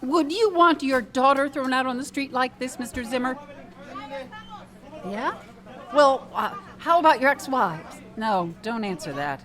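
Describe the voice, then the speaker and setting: A woman acts a quick-witted waitress down on her luck in a radio play. Her voice sits slightly high and is dry and exasperated. A busy crowd is audible around her. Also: expressive. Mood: indignant, defiant. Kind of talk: comedic radio drama acting.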